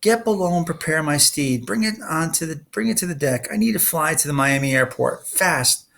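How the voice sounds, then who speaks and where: A man's voice: a little high, lively intonation, moderate pace, gravelly, a bit bright, pitched above the performer's own voice. A man reading a storybook as a menacing, sneering young male villain who taunts and gives orders. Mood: angry, unhinged. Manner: taunting, commanding.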